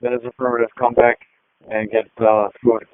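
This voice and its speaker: A man's voice: mid-range voice, level tone, a little fast, smooth, no room sound. A man working as a police officer gives clipped procedural orders and replies over a two-way radio. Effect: two-way radio transmission. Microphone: two-way radio handset. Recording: tinny narrowband police radio.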